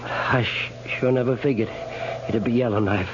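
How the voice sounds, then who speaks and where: A noisy, dulled old radio recording holds a dying cavalry private, acted by a man. His mid-pitched voice is weak and faltering, humble and folksy as he struggles through each phrase. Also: fairly even intonation, muffled recording, natural voice. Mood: weak, resigned. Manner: halting, folksy. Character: mortally wounded, humble male cavalry private. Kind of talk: 1950s radio drama acting. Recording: noisy, band-limited 1950s radio recording.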